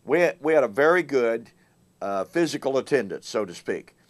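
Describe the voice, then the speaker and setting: A man's voice: medium pitch, animated, normal speed. A man hosting a morning Bible teaching show, talking casually with a voice still weak from laryngitis, emphatic and repeating words with frequent fillers. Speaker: host.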